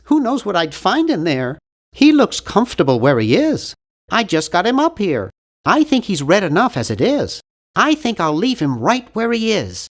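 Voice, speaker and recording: A bookish, timid young man from a cartoon adventure game. His voice sits high for a male and swings widely in pitch. He speaks with prim, pedantic care, fussing over every detail. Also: exaggerated voice. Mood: fussy, doubtful. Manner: pedantic, prim, fussy delivery.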